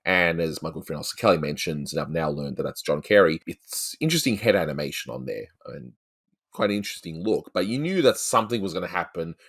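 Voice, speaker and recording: A man's voice: deep voice, animated, fast, a bit bright. A man with an Australian accent giving casual, rambling, chatty commentary as the host of a review show. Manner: rambling, chatty, peppered with "you know".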